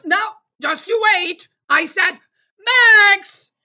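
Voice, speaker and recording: A woman playing an excitable, blustering talking teddy bear in a children's audio play. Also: very high voice, very slow, muffled recording, exaggerated voice. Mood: excited, urgent.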